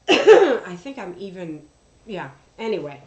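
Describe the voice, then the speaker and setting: A woman chatting casually on a livestream, congested from allergies, rambling with many ums and throat clears, recorded through a headphone mic across the room at home. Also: medium pitch, very animated, slow, a little mellow. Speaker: livestream host. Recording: echoey room, faint background noise.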